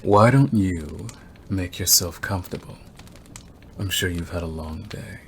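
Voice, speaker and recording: A man playing a predatory, obsessive male werewolf in an ASMR roleplay, talking in a deliberate, teasing way with drawn-out pauses. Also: low voice, vocal fry, a little hiss or hum. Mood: coaxing, controlling.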